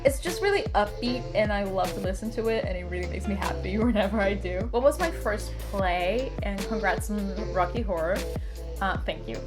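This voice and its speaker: Upbeat Brazilian woman vlogging. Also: mid-range voice. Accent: Brazilian Portuguese. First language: Portuguese. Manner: chatty, upbeat, frequent "like" fillers. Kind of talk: casual Q&A chat.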